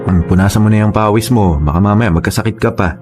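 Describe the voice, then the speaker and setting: A man's voice: fairly low pitch, fast talker, a little mellow, breathy edge. A man acting a domineering, jealous young father and boyfriend in a radio drama. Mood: gentle, fatherly. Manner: domineering, intense. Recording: music under the voice.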